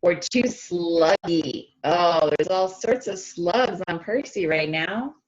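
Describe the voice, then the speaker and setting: A woman reading a picture book aloud to children, expressive and stretching key words, often stopping to ask them questions directly, on a slightly dull lo-fi recording. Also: on the low side, slow pace. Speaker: speech-language pathologist reading to children. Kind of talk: animated children's read-aloud with questions to listeners.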